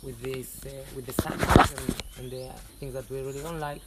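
A woman speaks earnestly in a casual interview, searching for words and checking in as she goes. She is recorded outdoors, with clear background noise and small clicks. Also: very deep voice, fairly even intonation, fairly quick. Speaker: band singer being interviewed. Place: outdoors under a tree.

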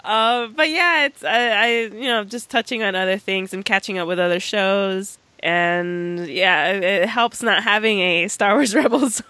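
A young woman co-hosting a fan podcast, slightly hoarse from a cold, chatting with enthusiasm and laughing often. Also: medium pitch, a little slow, few pauses, sharp tone, no room sound. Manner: giggly, enthusiastic. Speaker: podcast co-host. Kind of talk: casual podcast chat.

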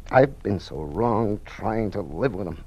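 A man's voice: fairly low pitch, expressive, measured pace, warm tone, slightly rough, full character voice. An actor playing an aging, bookish father, proud and regretful, with theatrical delivery in an old-time radio drama. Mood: anguished, regretful. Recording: muffled old radio recording.

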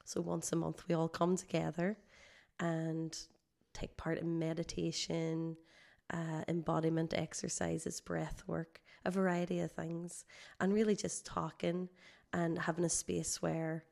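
A woman guest, a holistic healer, explaining her work conversationally in a measured, reflective way, slightly hoarse from a cold. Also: lowish voice, darkish tone.

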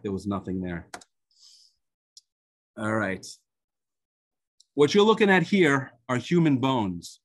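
A man from the United States whose first language is Hebrew, telling a personal story as a guest speaker on a video call, pausing often with fillers and restarts. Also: medium pitch. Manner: halting, with many fillers and restarts.

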